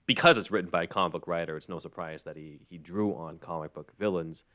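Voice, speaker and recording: A man with a very low voice hosts a casual show. He explains things conversationally, wandering into asides as he recaps. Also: fast, sharp tone. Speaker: podcast host.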